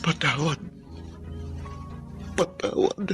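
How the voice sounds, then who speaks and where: A man's voice: a little high, extremely slow, loud music behind the voice. An actor in a Filipino radio drama playing a sickly, proud father with an earnest, emotional delivery and a Filipino accent. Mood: anguished remorse. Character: sickly, proud, defiant father who turns remorseful.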